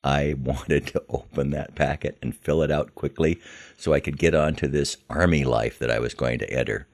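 An older American man telling a scripted first-person story in a measured, reflective manner. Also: rumbling low, fairly even intonation. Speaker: podcast host telling his memoir.

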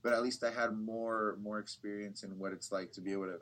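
A young man with a fairly low voice talks casually about his reporting work. His answers ramble, circling back with fillers and false starts, easy and unhurried. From United States. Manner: relaxed, rambling, many restarts and fillers. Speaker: bilingual TV reporter, guest. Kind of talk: casual interview talk.

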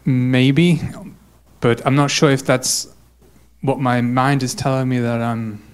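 A man from Germany telling a personal story in a church group, halting and restarting often. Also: mid-range voice, level tone, smooth. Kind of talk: personal story in casual talk.

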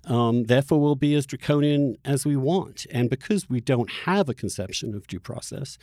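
A man hosting a discussion podcast, talking through political arguments in a thoughtful, halting way and often repeating words mid-sentence. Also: mid-range voice, dark tone, no echo, studio quality. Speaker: podcast host interviewing a guest.

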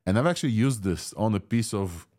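A man's voice: lowish voice, animated, a little fast, darkish tone. A man with a Russian accent, a native Russian speaker from Ukraine, hosting a casual, opinionated tech news podcast. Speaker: podcast host.

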